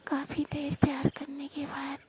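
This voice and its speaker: A young woman telling an intimate personal story in a soft, breathy voice that often drops toward a whisper. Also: high-pitched, monotone, unhurried. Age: young adult. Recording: faint background noise, amateur call-style recording.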